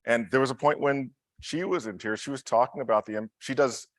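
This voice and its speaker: A man's voice: mid-range voice, brisk, dry recording, clean studio sound. A man in his fifties, speaking earnestly and reflectively in a casual conversation over a video call, often chuckling. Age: 50s. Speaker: conference speaker in a Q&A.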